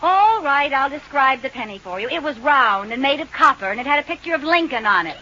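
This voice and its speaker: An actress playing a feisty, indignant housewife with exasperated, emphatic delivery in old radio sitcom style. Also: female voice, sits high, animated, brisk. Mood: indignant, insistent. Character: feisty, indignant housewife standing up for her rights. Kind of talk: 1950s radio sitcom acting. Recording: noisy, muffled old radio recording.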